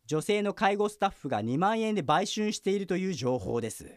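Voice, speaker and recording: An earnest junior policeman in a radio drama, with a high, very lively voice. He explains things formally and politely but is easily exasperated into blunt speech. Music plays behind him. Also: brisk. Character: earnest young male police officer, exasperated junior to senior detective. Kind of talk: Japanese radio drama dialogue.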